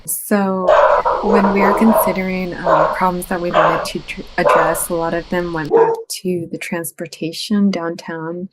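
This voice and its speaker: A young woman, a student answering interview questions about her team in a measured way, with frequent ums. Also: on the low side, steady tone, very noisy.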